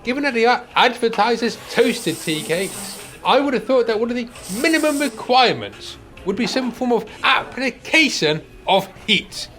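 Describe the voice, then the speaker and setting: A man's voice: extremely high pitch, big pitch swings, normal speed, hardly any pauses, fairly bright tone, faint music behind, heavily acted. A man playing a fussy, pedantic, mild-mannered older man in a comic radio play, wordy and precise. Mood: fussy, wry. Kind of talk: radio play comedy acting.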